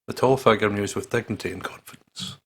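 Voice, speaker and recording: A man with a husky, gravelly voice playing a visual novel, reading the text aloud and joking in a playful way. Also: fairly low pitch, fairly quick. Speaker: let's play host reading the game aloud. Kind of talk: dramatic read-aloud with joking commentary.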